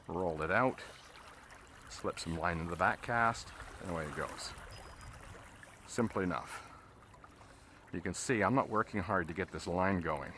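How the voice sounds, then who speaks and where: A man's voice: low voice, slight background noise. A man out on a river, casually explaining fly casting step by step while he fishes from a boat. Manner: relaxed, explains steps as he casts. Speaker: fly fishing instructor. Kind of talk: casual instruction while fishing.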